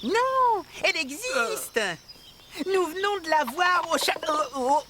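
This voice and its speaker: A woman's voice: extremely high pitch, sing-song, slow pace, loud music behind the voice, heavily acted. A woman voicing a plucky, boastful little male animal adventurer in a French-dubbed cartoon, brash and eager.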